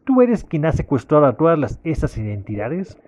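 A man's voice: a little high, big pitch swings, a little fast, darkish tone. A man voicing a defiant, hard-boiled cyborg detective, stern and confrontational, in an audio drama. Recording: clear background ambience noise.

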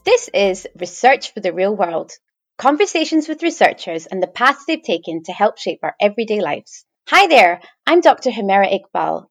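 A woman's voice: medium pitch, no echo. A woman hosting an academic podcast interview, speaking reflectively with frequent restarts. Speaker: podcast host.

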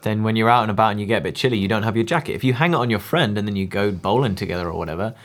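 A man's voice: fairly low pitch, very fast. A man co-hosting a casual podcast, joking and acting out little scenes. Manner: playful joker who acts out little scenes. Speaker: podcast co-host. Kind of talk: casual chat.

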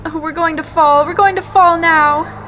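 A frightened high-voiced dream double. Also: female voice, normal speed, fairly bright tone, soft background music. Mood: panicked. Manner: fearful, pleading. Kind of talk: 1950s radio drama acting.

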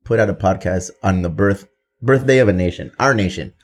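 A man's voice: low voice, fairly quick. A man in his thirties hosting a podcast, joking and swearing in casual banter and dropping into Spanish phrases. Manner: profane, joking, slips into Spanish. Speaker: podcast host.

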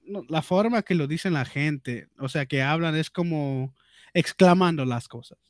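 A man's voice: on the high side, measured pace, dry recording, studio quality. A man chatting casually as a podcast guest, slangy and jokey, peppering his Spanish with English fillers. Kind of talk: casual bilingual chat.

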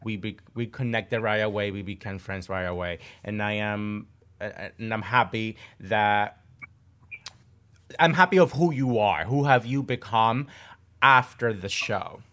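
A man's voice: fairly low pitch, unhurried, fairly bright tone. A man hosting a podcast interview, chatty and enthusiastic. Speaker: podcast host. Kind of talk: casual interview conversation.

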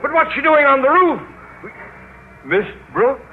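Pompous older male principal, high excitable voice, blustery, noisy vintage radio comedy. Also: a little slow, exaggerated voice. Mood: flustered pleading. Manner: blustery, stammering. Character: blustering, self-important older male school principal, easily flustered. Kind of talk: old-time radio sitcom acting. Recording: narrow-band noisy vintage radio, audience.

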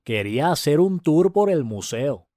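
A man's voice: fairly high pitch, big pitch swings, unhurried, warm tone, no echo, clean studio sound, voice pushed up. A man voicing a polite, thoughtful male museum visitor in a storybook reading. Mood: polite, friendly.

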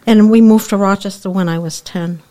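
A woman's voice: mid-range voice, steady tone, brisk, warm tone. An older woman from New Hampshire, a podcast guest recalling her childhood in plain, brief answers. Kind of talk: reminiscing in conversation.

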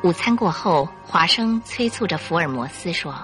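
A woman narrator telling a scripted radio drama story with expressive delivery. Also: lowish voice, normal speed, soft background music.

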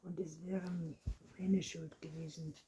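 A woman's voice: low voice, level tone, measured pace, mellow, a little hiss or hum. Woman whispering as a dreamy young vampire girl. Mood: urgent.

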